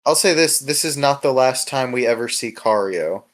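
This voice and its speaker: A man chatting casually as a co-host, with a halting, stammering delivery full of repeated words and casual swearing. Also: medium pitch, lively intonation. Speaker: podcast co-host discussing a webcomic episode. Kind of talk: casual chat.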